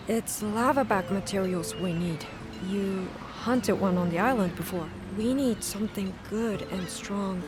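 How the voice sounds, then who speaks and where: A woman's voice: medium pitch, background noise, natural voice. An actress playing a blunt, reserved craftswoman in a video game, speaking in clipped, no-nonsense phrases. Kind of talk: video game cutscene acting.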